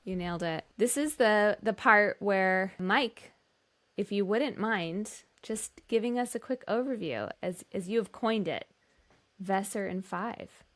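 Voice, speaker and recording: A woman's voice: mid-range voice, a little slow. A woman hosting a podcast, moving between introductions and casual chat. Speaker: podcast host.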